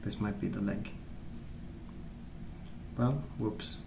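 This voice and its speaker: Roomy echo, audible background noise, soft-spoken man, creaky voice, hushed ASMR musing. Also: on the low side, slow. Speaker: ASMR video host. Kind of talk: soft-spoken ASMR rambling while colouring.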